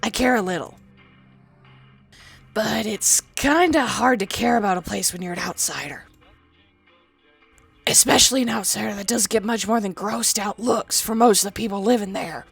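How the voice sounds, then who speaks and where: Woman voicing a husky, foul-mouthed ghoul girl. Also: mid-range voice, moderate pace, pauses often, soft background music. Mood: wry. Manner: blunt, crude and defensive. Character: sardonic foul-mouthed young female ghoul, ex-psych student. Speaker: companion character. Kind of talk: fan-voiced game dialogue.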